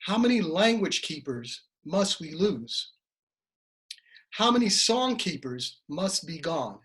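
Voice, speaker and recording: A man's voice: fairly high pitch, very animated, slow pace, fairly bright tone. A man reading a memorial piece as an actor, in a solemn, rhetorical, dramatic style.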